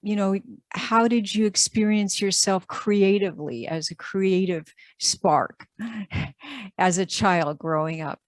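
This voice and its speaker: A woman hosting an interview, asking gentle questions and affirming her guest. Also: medium pitch, slow, no echo. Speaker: interview host. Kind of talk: warm encouraging interview.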